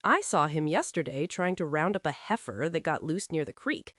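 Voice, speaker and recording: A woman's voice: low-pitched, sing-song, moderate pace, fairly bright tone, dry recording, clean studio sound, full character voice. A woman voicing a big, guileless young male ranch hand in an audio drama, chatty, eager and cheerful. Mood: gleeful storytelling.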